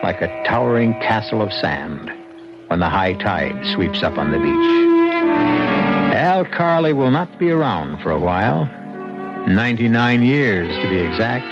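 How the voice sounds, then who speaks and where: A man hosting and narrating an old-time radio drama in a scripted, measured, oratorical style. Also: mid-range voice, big pitch swings. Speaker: host and narrator. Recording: dull old radio recording, loud music.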